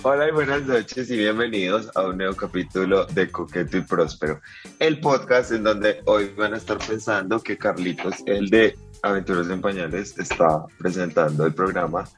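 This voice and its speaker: A man co-hosting a casual podcast, hoarse and congested, with a campy, playful way of talking. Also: deep voice, normal speed, soft background music. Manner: campy, playful, uses feminine slang. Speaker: podcast co-host.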